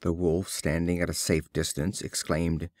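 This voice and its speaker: A man reading fables as scripted storybook narration, in a measured, expressive storyteller's delivery. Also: low-pitched, no echo, clean studio sound. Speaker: audiobook reader.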